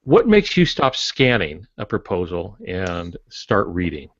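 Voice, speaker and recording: A man's voice: sits low, huge pitch swings, a little slow, no echo, muffled recording. A man casually interviewing a guest as a podcast host.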